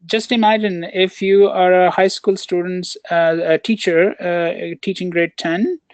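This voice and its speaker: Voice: mid-range voice, steady tone, a little slow, clean tone, dry recording. A counselor answering questions on a webinar, talking with many fillers and restarts over a video call. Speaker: counselor and program researcher.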